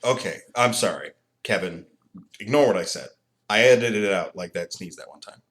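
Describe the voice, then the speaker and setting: Laughing, animated podcast guy. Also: lowish voice, normal speed. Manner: animated, laughs often, swears freely. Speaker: podcast co-host. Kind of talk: casual chat.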